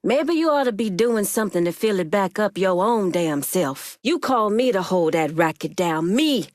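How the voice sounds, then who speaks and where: An actress plays a proud, hard-edged woman crime boss from a video game. She talks bluntly and deliberately, with streetwise authority and a profane tongue. Her pitch sits in the middle for a woman, and the recording is close and dry. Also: animated, dry recording, lightly acted. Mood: resolute, measured. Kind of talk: dramatic video game character acting.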